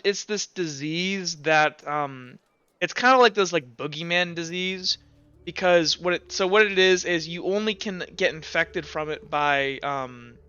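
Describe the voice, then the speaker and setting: A man narrating a tabletop game as game master, improvising in a halting, stop-and-start way. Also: high-pitched, sharp tone, clean tone, dry recording, highs cut off. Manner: halting, filler-heavy storytelling.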